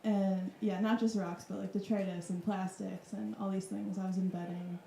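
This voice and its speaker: Thoughtful woman in her thirties, mellow tone, echoey room. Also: mid-range voice, flat delivery, moderate pace, creaky. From Wisconsin, US. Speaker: artist guest. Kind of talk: casual reflective talk. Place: club room with audience.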